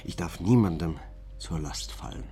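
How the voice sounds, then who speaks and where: An actor playing a troubled middle-aged man in a German radio drama, weary and evasive, speaking gravely and with restraint. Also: sits low, expressive, moderate pace, dark tone. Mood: resigned. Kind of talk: German radio drama acting, confessional monologue.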